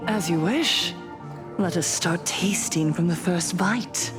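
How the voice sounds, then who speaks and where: Over prominent music, an eerie game prophetess, obsessed with happiness, in a slightly low voice. She speaks very slowly and breathily, savoring each phrase like a seductive hypnotist. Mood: seductive, knowing. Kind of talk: dramatic video game cutscene acting.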